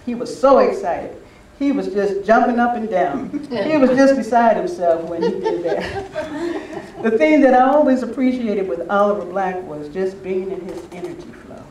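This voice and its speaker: A man's voice: high-pitched, a little mellow. An older man giving an informal, anecdotal talk to a gathering, reminiscing in a conversational way. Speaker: speaker at a spiritual retreat. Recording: roomy, distant mic with faint noise.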